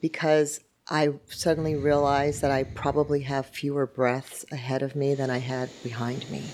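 A woman's voice: extremely low pitch, little pitch movement, moderate pace, background noise. A woman in her fifties, an attorney and yoga instructor, speaking thoughtfully and matter-of-factly in an interview. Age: 50s. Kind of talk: interview reflections.

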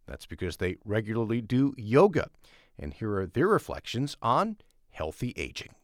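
A man introducing a public radio feature with a very animated, swinging delivery. The studio sound is dry and close. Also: fairly low pitch, normal speed, no room sound. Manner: lively, clear delivery. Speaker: radio host. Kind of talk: scripted news intro.

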